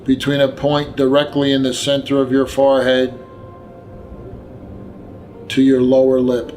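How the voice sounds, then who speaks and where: A man narrating a guided meditation, giving gentle, unhurried instructions with long pauses. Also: mid-range voice, completely flat delivery. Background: background music.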